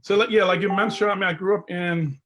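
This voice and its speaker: A man's voice: high voice, steady tone, fast talker, fairly bright tone. A middle-aged man speaking as an interview guest, informal, often restarting and repeating his phrases. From Liberia. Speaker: guest, investment consultant. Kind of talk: casual interview chat.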